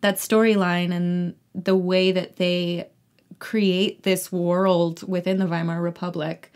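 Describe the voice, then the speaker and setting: A woman's voice: on the low side, little pitch movement, slow, darkish tone. A young woman chatting casually as a guest in an interview. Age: young adult. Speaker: interview guest, actor and singer. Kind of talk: casual chat.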